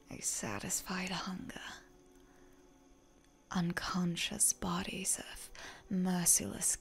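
A seductive vampire huntress, acted by a woman whispers in a roleplay ASMR. Her voice is breathy and deeply creaky, crackling with fry. She speaks slowly and deliberately, teasing like a predator toying with prey. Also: full character voice. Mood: dark, hushed.

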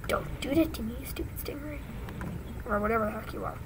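A woman hosting a casual let's-play, her voice mostly gone from illness so she often whispers, reacting excitedly to the game. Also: fairly high pitch, fast, noisy background. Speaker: gaming channel host. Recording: game music loud behind.

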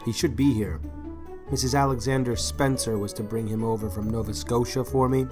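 A man's voice: mid-range voice, steady tone, fairly quick, over loud music, natural voice. A man playing a shy, bewildered farmer of sixty, hesitant and helpless, in an audiobook. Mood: confused. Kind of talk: audiobook character acting.

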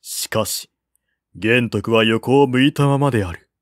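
Slow-paced male audiobook narrator. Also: mid-range voice, dry recording, studio quality. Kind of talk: scripted literary narration of a historical novel.

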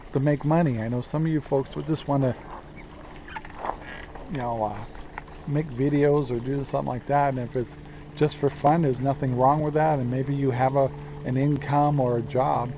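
A man's voice: medium pitch, fairly even intonation, moderate pace, long pauses, dark tone, a little hiss or hum, poor audio. A man in his sixties talking casually to camera outdoors in his yard, rambling with many ums and asking and answering his own questions. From Central Oregon, USA. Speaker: homestead vlogger. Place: outdoors in a sunny yard with trees. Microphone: camera close in front, selfie framing.

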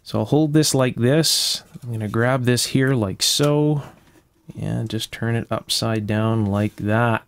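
Male repair-video host, mid-pitched, careful step-by-step explainer, casual delivery, very dry sound. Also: takes it slowly, dry recording. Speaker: electronics restorer and video host. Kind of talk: casual hands-on repair narration. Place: indoor electronics workshop at a bench.

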